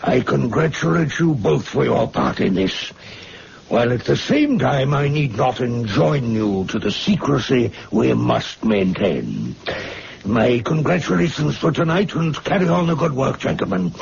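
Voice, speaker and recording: A pompous, creaky-voiced senior doctor. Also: male voice, mid-range voice, big pitch swings, measured pace. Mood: grand, self-satisfied. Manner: pompous, formal, oratorical. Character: pompous male doctor, grandly congratulatory senior anatomist. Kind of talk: old radio drama acting. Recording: dull, noisy old radio recording.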